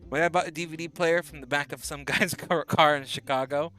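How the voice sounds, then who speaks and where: Young man, twenties, rambling streamer chat, a bit sick, bright forceful tone. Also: on the high side, fairly even intonation, fairly quick, faint music behind. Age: 20s. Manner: rambling, chatty, answers chat messages. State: a bit sick, on bed rest. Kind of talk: casual live-stream chat while gaming.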